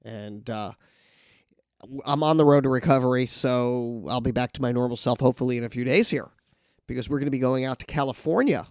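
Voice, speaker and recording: A man's voice: mid-range voice, a little fast, darkish tone, no room sound, studio quality. A man with a nasal, congested voice from a cold, hosting a casual news talk show and rambling with many restarts. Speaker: podcast host.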